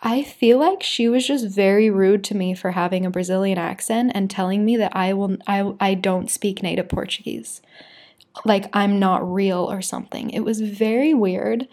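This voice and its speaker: A young woman from the United States tells a story straight to camera, talking loosely and candidly with plenty of filler words. Her voice is mellow and warm rather than bright, and sits at an ordinary pitch for a woman. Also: steady tone, normal speed. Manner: chatty, frequent "like" fillers, candid. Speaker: vlogger.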